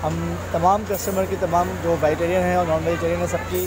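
A man's voice: high voice, little pitch movement, fast. A man, a restaurant chef giving a short promotional talk and mixing in English phrases. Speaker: restaurant chef and food manager. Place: outdoor restaurant walkway at night. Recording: noisy open-air restaurant, phone recording.

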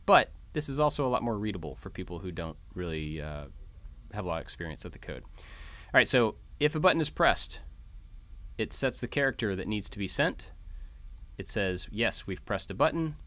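A man's voice: on the low side, expressive, normal speed, pushed and bright. A man narrating an informal code walkthrough as a tutorial host, explaining things step by step. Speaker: host of a maker tutorial channel. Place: voice-over for a screen recording.

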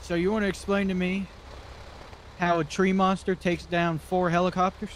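A man's voice: sits high, flat delivery, moderate pace, slight background noise. A man improvising as an officer in an online roleplay game. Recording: roomy echo, loud music behind.

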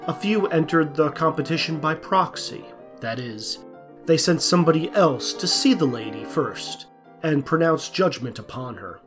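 A man's voice: on the high side, lively intonation, moderate pace, over music. A man reading scripted storybook narration for an audio drama as its narrator.

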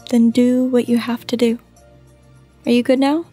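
An actress plays a stoic young nurse in love with a soldier. She speaks gently and earnestly in a fairly high voice. Soft music sits behind this period audio drama. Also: little pitch movement, normal speed, husky. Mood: tender, resigned.

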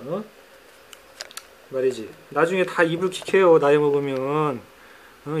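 Hoarse chatty man vlogging his pets. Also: fairly high pitch. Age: middle-aged. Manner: chatty, teasing, drops into banter. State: hoarse, voice cracks. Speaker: pet keeper vlogger. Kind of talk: casual how-to vlog. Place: indoors among pet enclosures.

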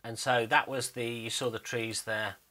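A man's voice: mid-range voice, flat delivery, normal speed, bright, forceful tone. A man giving a casual, unscripted commentary over a screen recording, explaining his animation work and thinking aloud as he goes. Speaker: 3D animator narrating behind-the-scenes work.

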